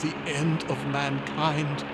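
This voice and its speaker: A man's voice: on the high side, flat delivery, slow, heavy background noise. A man playing a fatalistic, scholarly scientist prophesying doom in theatrical old-time radio horror style, in a live stage recording. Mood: bitterly mocking. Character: doom-prophesying scholarly male scientist, grimly mocking humanity's end.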